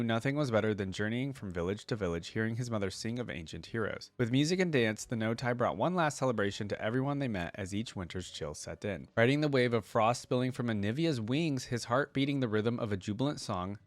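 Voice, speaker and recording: Low-voiced man, close dry sound. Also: no echo. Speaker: reaction video host. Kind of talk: casual reaction commentary and reading a story aloud.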